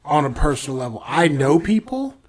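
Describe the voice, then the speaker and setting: A man's voice: on the high side, very animated, a little fast, crowd noise. A man co-hosting a podcast, talking in a rambling way with lots of "like" fillers and sudden bursts of enthusiasm. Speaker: podcast co-host. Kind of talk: casual podcast chat.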